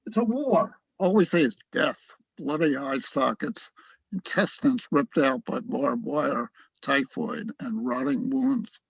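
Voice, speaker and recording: A cynical, hard-drinking army doctor played by a man talks bluntly and wearily in a rough, husky voice full of creak. It is radio-play acting, recorded dry with a slightly dull tone. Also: medium pitch, slow, no echo, heavily acted. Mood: weary, bitter. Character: tipsy, cynical, bigoted army doctor at the front.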